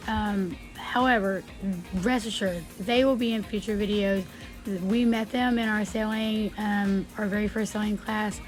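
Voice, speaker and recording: A woman, chatting excitedly to camera in a casual vlog while losing her voice. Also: medium pitch. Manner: chatty and excited, addresses viewers directly. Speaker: vlogger.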